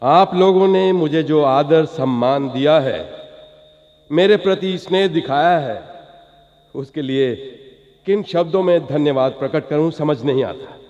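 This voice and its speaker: High-pitched orating film director, echoing hall. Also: male voice, expressive, darkish tone. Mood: solemn gratitude. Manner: formal, declamatory, measured phrases. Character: acclaimed film director making a grateful, lofty speech. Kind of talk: radio play acting as a public address. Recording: muffled, echoing hall-like reverb.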